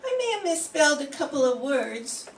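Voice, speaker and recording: A woman's voice: sits high, sing-song, moderate pace. An older woman in a class radio-play reading, playing a naive, scatterbrained young newspaper columnist who delivers her lines earnestly. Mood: dreamy. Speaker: student performer in radio-script class. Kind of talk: amateur old-time radio play reading. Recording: roomy classroom, far from mic, faint hiss.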